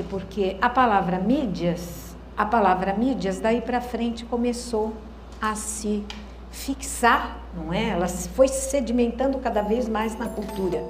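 Brazilian woman lecturing with lively pitch. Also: roomy sound, slight background noise. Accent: Brazilian Portuguese. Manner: thoughtful, hesitant restarts, rhetorical questions to the audience. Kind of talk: university lecture. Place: lecture hall.

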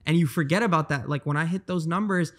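A man's voice: a little high, quick pace. A young man in his twenties, a guest on a podcast, talking earnestly into a handheld mic and often repeating words as he thinks. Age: 20s. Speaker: guest, trader. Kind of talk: casual podcast interview. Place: bright high-rise living room.

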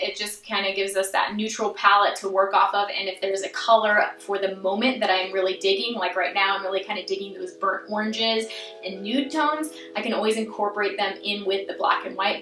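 A young woman chats her way through a home tour, upbeat and easygoing, with plenty of little fillers. Her voice sits at an ordinary middle pitch. The small rooms make it ring a bit, and soft music plays underneath. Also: roomy sound. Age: young adult. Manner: chatty and upbeat, with frequent fillers. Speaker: home vlogger. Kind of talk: casual house tour vlog. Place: small rooms of a house, indoors.

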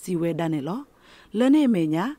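A woman's voice: moderate pace, warm tone, no room sound. A woman radio news presenter reading a scripted bulletin.

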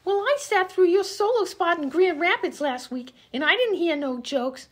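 A woman's voice: extremely high pitch, lively intonation, normal speed, a bit bright. A woman playing a dim, chatty 1920s vaudeville comedienne, slangy and easily indignant, in an old-time radio comedy sketch. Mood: naive, defensive. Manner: scatterbrained, slangy, literal-minded.

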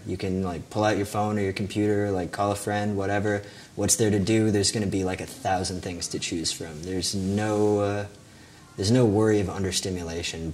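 A young man speaking in a relaxed, casual way in a documentary interview. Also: low voice, a little hiss or hum.

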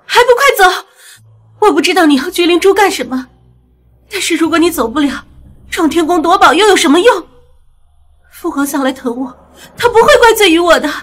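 Devoted young princess, extremely high pitch, urgent, pleading, fantasy radio drama. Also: female voice, expressive, fairly quick, pauses often. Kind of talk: Chinese fantasy audio drama acting.

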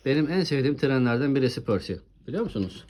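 A woman with a very deep, mellow voice presents toys in a casual chat. She is encouraging and often puts questions to her young co-host. There is faint noise behind her. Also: expressive, very fast. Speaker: host presenting toy trains with a child. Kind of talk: casual toy showcase chat.

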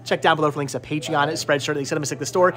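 A man's voice: a little high, steady tone, racing, a bit bright. A middle-aged man hosting a casual vlog in a shop, rambling and repeating words for emphasis, recorded on a camera held at arm's length. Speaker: host. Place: inside a small cluttered movie and game shop. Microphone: phone or camera at arm's length.

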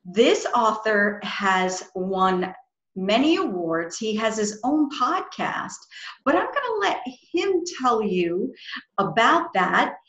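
A woman's voice: mid-range voice, very animated, slow, fairly bright tone, dull audio. A middle-aged woman hosting a casual video interview in a chatty, informal way. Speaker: interview host. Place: small room at home, indoors. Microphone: computer mic on a video call. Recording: roomy, echoey home room.

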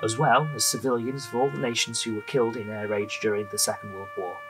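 A man's voice: fairly low pitch, little pitch movement, fast, fairly bright tone, soft background music, close to an everyday voice. A man giving scripted, documentary-like narration in an audio drama.

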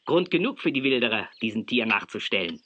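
Sharp, earnest male wildlife official. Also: on the low side, sing-song, fast, dull audio, full character voice. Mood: emphatic. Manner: grave, insistent, formal. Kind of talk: children's audio drama acting.

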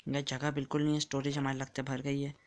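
A man's voice: mid-range voice, completely flat delivery, fast talker. A man giving casual commentary while playing a block-building game, chatty and counting blocks aloud as he builds. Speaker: gamer.

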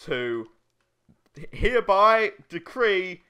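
A young man chats casually as he co-hosts a gaming video. His voice is bright and a little high, swinging in pitch as he jokes crudely. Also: sing-song, slow pace, clear, resonant tone. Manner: jokey, crude humour. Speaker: let's play co-host.

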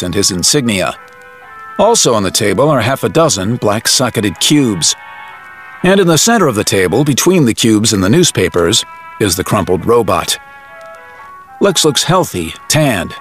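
A man's voice: lowish voice, a bit bright. A man delivering scripted dramatic narration for an audio drama.

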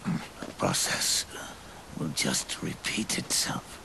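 An actor playing a weary, regretful old soldier near death in a video game cutscene, with a gravelly, breathy, halting and pained delivery. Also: male voice, background noise, natural voice. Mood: labored, explaining. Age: older. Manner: halting, solemn, labored delivery. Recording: orchestral music behind the voice.

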